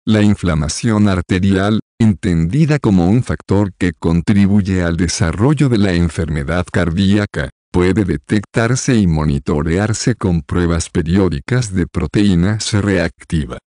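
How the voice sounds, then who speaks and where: A low-voiced man narrates a medical explainer from a script. His tone is mellow and soft-edged, read evenly and without hurry. The close recording sounds dry, with no room around it. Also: unhurried, hardly any pauses, dry recording.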